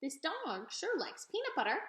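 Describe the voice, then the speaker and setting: A woman's voice: very high voice, wildly swooping pitch, normal speed, a bit bright, reverberant, pitched above the performer's own voice, exaggerated voice. A woman voicing a kindly, amused old toothless country woman with a folksy, exclaiming way of talking. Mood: friendly.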